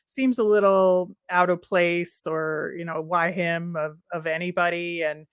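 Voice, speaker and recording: A woman with a slightly low voice chats as a sports podcast co-host. She lays out her thoughts in a measured, conversational manner. The recording is dry and free of room sound. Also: steady tone, clear, resonant tone, no room sound. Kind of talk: casual hockey discussion.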